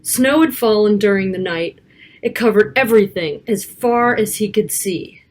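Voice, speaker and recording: A woman reading a picture book aloud to children, expressive, with casual asides about the pictures. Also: mid-range voice, moderate pace. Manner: child-directed, expressive, stretching key words and pointing out pictures.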